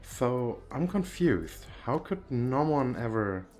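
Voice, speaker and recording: A man's voice: medium pitch, sing-song, takes it slowly, a little mellow, faint music behind, pitched above the performer's own voice. A man playing a nervous, bashful, well-off young businessman on a date in an ASMR roleplay, with hesitant, stammering speech. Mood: polite, charming. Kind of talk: ASMR roleplay, one-sided conversation with the listener.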